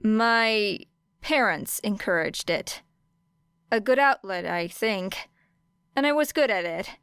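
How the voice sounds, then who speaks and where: Woman playing a wary teen girl in an audiobook, careful and understated, slow clear delivery. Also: mid-range voice, animated, fairly bright tone, dry recording, clean studio sound. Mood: guarded, casual. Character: guarded, wary teenage schoolgirl hiding her past. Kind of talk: acted audiobook dialogue.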